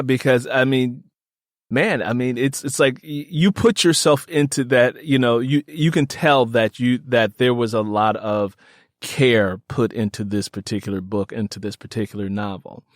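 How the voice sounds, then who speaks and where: A man with a lower-middle pitched voice hosts a podcast interview in a very dry recording. He talks casually and with enthusiasm, often repeating a phrase to stress it. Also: steady tone, a little slow, dry recording. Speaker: podcast host interviewing an author.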